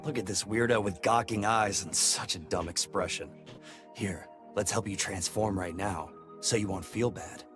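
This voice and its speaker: A man's voice: on the low side, normal speed, music in the background, lightly acted. A man voicing a smug, eerily persuasive conformist stranger in game dialogue, coaxing and condescending. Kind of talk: game dialogue read aloud on stream.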